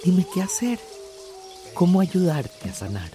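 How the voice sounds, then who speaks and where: An actress playing a reverent, solemn nature devotee in prayer, in a radio drama. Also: female voice, lowish voice, big pitch swings, measured pace. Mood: reverent, imploring. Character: reverent, solemn devotee praying to Mother Earth. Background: music and outdoor nature sounds.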